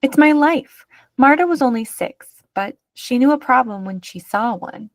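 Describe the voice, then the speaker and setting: A woman's voice: on the high side, lively intonation, moderate pace, no room sound. Woman narrating a children's picture book, dry sound. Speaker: read-aloud narrator.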